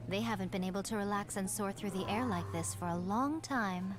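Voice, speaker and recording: A woman voicing a poised, soothing female shrine spirit in a video game, gentle and measured. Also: mid-range voice, lightly acted. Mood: serene, wistful. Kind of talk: video game character acting. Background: game music.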